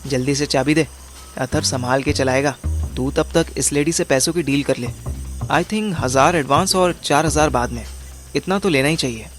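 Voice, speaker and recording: A man's voice: on the high side, moderate pace, a bit bright, close to an everyday voice. A man playing a bossy, irritable young detective boss in an audio drama, slangy and impatient, mixing in English words. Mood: brisk, instructing. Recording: music under the voice.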